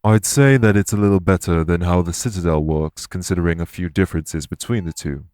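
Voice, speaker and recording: An actor playing a courteous, remorseful immortal elven king in an audio roleplay, speaking in formal, measured phrases. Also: low voice, darkish tone, a little hiss or hum, close to an everyday voice. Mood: calm, earnest. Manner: formal, measured, stately wording. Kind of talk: scripted audio roleplay acting.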